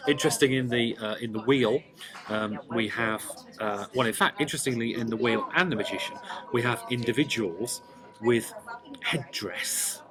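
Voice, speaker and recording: A man explaining a tarot and numerology reading one-to-one, speaking deliberately and emphatically with pauses. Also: medium pitch, a crowd in the background, rough recording.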